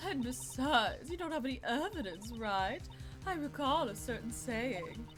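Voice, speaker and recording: A woman acts out a smug lady defendant from a courtroom game. She drawls tauntingly in a highish, lively voice with music underneath. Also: unhurried. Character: smug defendant woman protesting her innocence.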